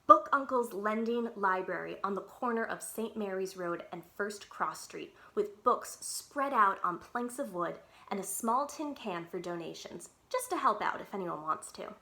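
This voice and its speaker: Female, bright medium-pitched voice. Expressive children's read-aloud, animated and clearly enunciated. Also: moderate pace, few pauses. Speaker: librarian reading aloud to children.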